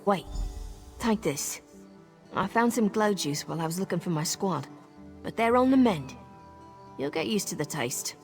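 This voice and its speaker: A troubled fantasy marine leader over music. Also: female voice, fairly low pitch, expressive, normal speed, pauses often, a little mellow. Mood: brisk, businesslike. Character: female marine squad leader in a fantasy game, brisk, troubled. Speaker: military officer. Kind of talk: scripted video game dialogue.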